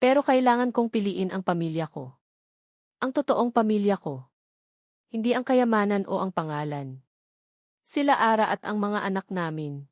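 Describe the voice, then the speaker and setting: A woman's voice: mid-range voice, expressive, moderate pace, pauses often, darkish tone, no echo, natural voice. Woman as devoted father and suitor, earnest, tender, solemn, storybook drama, dry close sound. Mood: pleading, resolute.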